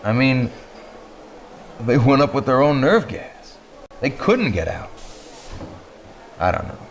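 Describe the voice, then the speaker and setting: A man playing a rueful rebel soldier in a video game, talking informally in a musing, low-key way. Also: big pitch swings, slow. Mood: rueful, puzzled. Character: weary, rueful male rebel comrade. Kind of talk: casual dialogue in a game cutscene. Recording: faint ambient noise, old game audio.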